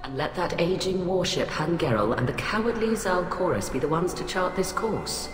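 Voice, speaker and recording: An actress playing a cold, haughty alien admiral in a video game, speaking in a clipped, formal, condescending way. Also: female voice, lowish voice, animated, normal speed, natural voice. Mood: haughty conviction. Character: cold, haughty alien admiral, female, middle-aged scientist, ruthlessly rational. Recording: echoey hall reverb, music behind.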